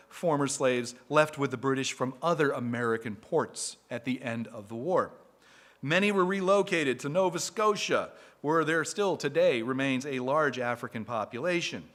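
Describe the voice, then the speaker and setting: A man reading a prepared talk to a live audience as a speaker for a historic site, measured and steady. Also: on the high side.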